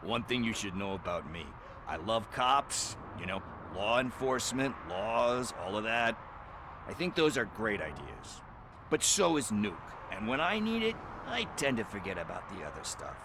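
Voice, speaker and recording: An actor playing a laid-back, wry street informant and junkie hustler in a video game, hesitant, rambling and flippant. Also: male voice, fairly low pitch, very animated, moderate pace, background noise. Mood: reflective.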